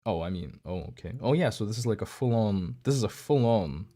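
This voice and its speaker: A man streaming, giving casual commentary in a halting, rambling way with many restarts. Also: lowish voice, lively intonation, dark tone.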